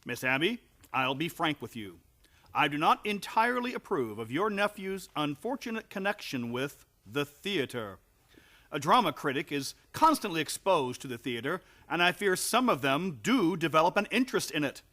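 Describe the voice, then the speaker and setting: A man's voice: a little high, big pitch swings, moderate pace, sharp tone. A man playing a prim, courteous, disapproving minister in a radio play, formal and fussy. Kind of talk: stage-style radio play acting.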